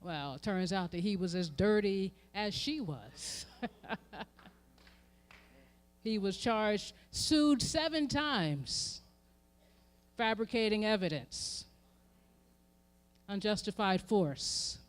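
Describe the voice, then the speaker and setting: Woman preacher, American accent, medium pitch, impassioned, rhetorical repetition, hushed to forceful delivery. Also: expressive, slow pace, long pauses. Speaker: reverend speaking at a memorial event. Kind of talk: impassioned sermon. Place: live event before an audience.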